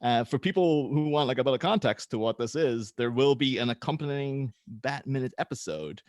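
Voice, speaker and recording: Man, mid-range pitch, lively swings in intonation, informal host, script narrator, very dry sound. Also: fairly quick, no room sound. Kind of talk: casual introduction, then reading screenplay directions aloud.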